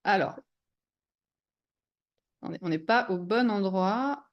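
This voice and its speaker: A young woman from Switzerland, a business coach, giving an energetic online workshop over a video call and mixing English business words into her talk. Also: medium pitch, slow pace, dull audio. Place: remote video call from abroad.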